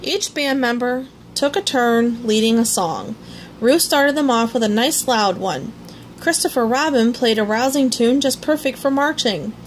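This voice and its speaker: Woman, high lively voice, expressive children's storybook narration, some background noise. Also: measured pace. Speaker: storybook reader. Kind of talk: scripted children's story narration, read aloud.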